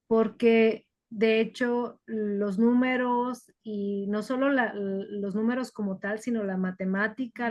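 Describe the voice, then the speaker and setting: A woman, speaking on a video call at a mid pitch. She presents her work in a thoughtful, unhurried way and often stops to restart her phrasing. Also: a little mellow. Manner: thoughtful, hesitant with fillers and restarts. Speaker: workshop presenter. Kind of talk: online workshop talk, switching to English.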